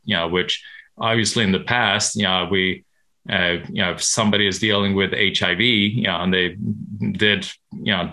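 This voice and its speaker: A man from Sweden, a medical professional answering interview questions haltingly, with many restarts. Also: low voice, sharp tone, poor audio.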